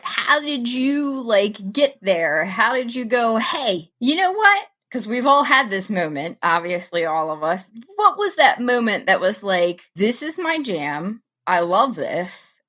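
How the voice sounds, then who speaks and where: A woman's voice: a little high, takes it slowly, few pauses. A woman with a slightly nasal voice co-hosting a therapy podcast, chatting casually with frequent laughs. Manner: bubbly, laughs often, lively intonation. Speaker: podcast co-host, social worker.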